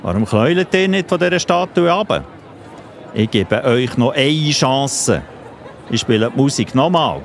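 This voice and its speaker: A man's voice: mid-range voice, expressive, unhurried, creaky, a faint crowd. Man playing an imperious, theatrical Swiss-accented king. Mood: indignant, commanding. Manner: theatrical, commanding. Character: imperious, hot-tempered king, adult man. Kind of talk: children's Bible audio play.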